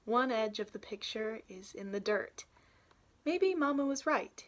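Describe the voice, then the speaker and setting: A woman's voice: on the high side, lively intonation. A young adult woman reading a children's picture book aloud in an informal storytelling manner. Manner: informal, pauses to point out illustrations.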